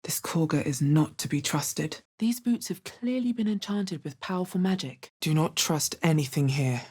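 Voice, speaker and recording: Deep, husky, wary fantasy adventurer. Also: female voice, normal speed, a little mellow. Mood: grim, measured. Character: wary, hard-bitten young woman adventurer in a fantasy world. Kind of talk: scripted video game character voice lines.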